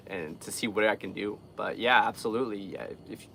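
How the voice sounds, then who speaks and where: A young man talks casually as a live streamer, in an earnest and rambling way. His voice sits a little low with a gravelly fry, and there is faint noise behind him. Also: brisk. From Guatemala. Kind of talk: casual live chat with viewers.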